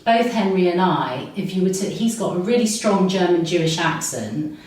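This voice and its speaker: A woman's voice: on the low side, expressive, moderate pace, darkish tone, distant mic. A woman in her forties with a London accent, a podcast guest giving reflective, flowing answers full of filler words. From London, England. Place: room recorded in person. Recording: very echoey room, set back from mic, faint noise.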